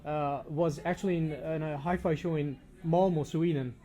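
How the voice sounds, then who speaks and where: A man's voice: a little high, fairly even intonation, normal speed, mellow. A man speaking casually and appreciatively as an on-location interviewer at an audio show. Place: demo room at an audio show. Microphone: binaural microphone.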